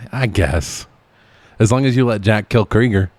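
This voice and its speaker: A man's voice: lowish voice, unhurried, darkish tone, no echo. A man co-hosting a podcast, casually discussing a movie in a profane, exasperated manner. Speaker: podcast co-host.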